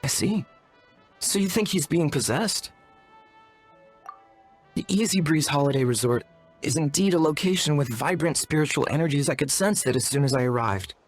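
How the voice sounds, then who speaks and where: A man's voice: a little high, animated, normal speed, pauses often. An actor playing an earnest, courteous and wordy young shaman apprentice in a video game, speaking in a formal, polite manner. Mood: earnest, deferential. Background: music.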